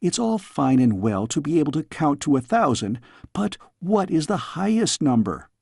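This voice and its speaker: Man voicing a pert, high-pitched young princess. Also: sing-song, moderate pace, creaky, a little mellow, slightly rough, no room sound, heavily acted. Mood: eagerly curious. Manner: eager, pert, dramatic. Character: headstrong, inquisitive young princess girl. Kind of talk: storybook character voice.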